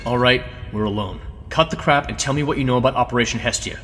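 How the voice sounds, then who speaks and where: An actor playing a hard-nosed, blustering intelligence boss and villain, demanding and exasperated, in an audio spy comedy. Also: male voice, mid-range voice, fast, fairly bright tone, in a large hall, natural voice. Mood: threatening.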